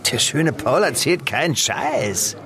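A man's voice: mid-range voice, lively intonation, takes it slowly, crowd noise. A man playing a cagey, streetwise underworld informant in a naturalistic radio drama, teasing and slangy. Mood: sly, teasing. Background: loud music.

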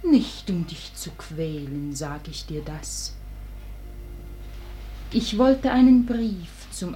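A woman's voice: fairly low pitch, warm tone. An actress playing a forsaken, dying woman in a German verse radio drama, speaking solemnly and lyrically, often sinking to whispers, wistful and wounded.

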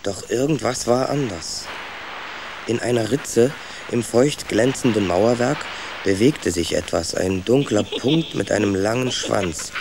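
An actor playing a plucky, loyal rat who leads and narrates in a children's radio play. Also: male voice, on the low side, animated, unhurried, creaky, noisy background. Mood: suspenseful narrating. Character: bossy, plucky male young rat, loyal older brother.

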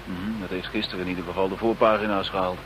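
An actor playing an unruffled, matter-of-fact British chief police inspector in a radio drama. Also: male voice, low voice, flat delivery, fast, fairly bright tone, low-quality recording. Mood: explanatory. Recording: faint background noise, old radio play.

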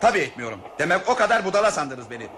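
A skeptical train waiter in a radio play, questioning and sardonic. He talks fast with big swings of pitch, over clearly noisy old audio. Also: big pitch swings, a bit bright. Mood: indignant. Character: wary train waiter, skeptical and easily indignant. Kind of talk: radio drama acting.

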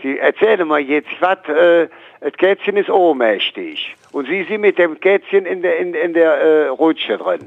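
Gravelly, puzzled man on a tinny phone. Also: a little high, dry recording. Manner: puzzled, halting, repeats back what he hears. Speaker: call taker being pranked. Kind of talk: unscripted phone conversation. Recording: tinny narrow phone line.

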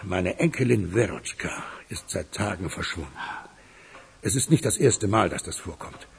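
An actor playing a powerful, commanding older town boss and grandfather in a German radio crime drama, husky and measured. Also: deep voice, expressive, exaggerated voice. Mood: grave, insistent. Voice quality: husky, gravelly.

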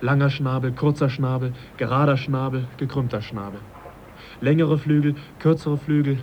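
An actor playing a modest, sceptical young English naturalist in a German radio drama, speaking in a reflective, politely questioning way. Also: male voice, mid-range voice, fairly even intonation, normal speed, lightly acted. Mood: thoughtful. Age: young adult. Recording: dull, faintly noisy radio drama recording.

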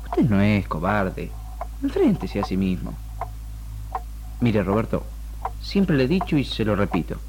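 A man with a Rioplatense accent playing a calm, firm doctor in a 1960s radio drama, speaking in a solemn, measured, counselling way. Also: on the low side, noisy background. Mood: firm, grave. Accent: Rioplatense Argentine. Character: calm, firm male doctor guiding a troubled patient. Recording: old radio archive tape with music.

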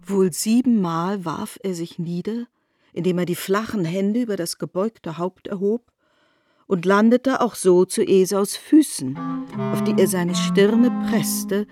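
A woman narrating a literary radio drama from a script in a measured, articulate storytelling manner. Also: mid-range voice, warm tone, dry recording, soft background music.

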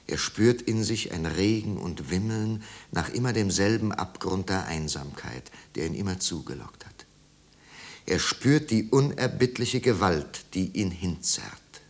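A man with a low, gravelly voice narrates a 1950s German radio play. His literary delivery is measured and grave, with dramatic weight.

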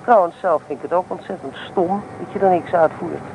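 An actress playing a headstrong, teasing young student in a radio play, blunt and self-assured in naturalistic dialogue. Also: female voice, on the low side, a little fast, phone-quality sound, natural voice. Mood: irritated. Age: young adult. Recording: noisy old radio play recording.

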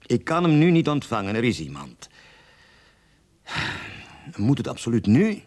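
An actor playing a guarded bank director in a radio play, formal, hesitant and curt, with a husky voice. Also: male voice, mid-range voice, huge pitch swings, a little slow, a little mellow. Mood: irritated. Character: stiff, guarded male bank director, officious.

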